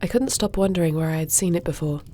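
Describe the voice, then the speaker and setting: A woman's voice: fairly low pitch, quick pace, mellow, lightly acted. A woman playing a confident warrior princess in a fantasy audio roleplay, assured and formal. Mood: confident, cordial. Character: confident warrior princess, dragon shifter commander, self-assured.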